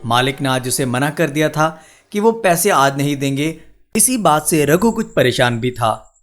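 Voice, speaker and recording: A man's voice: fairly high pitch, lively intonation, unhurried, faint music behind. A man narrating a scripted moral tale as a storyteller for a cartoon video.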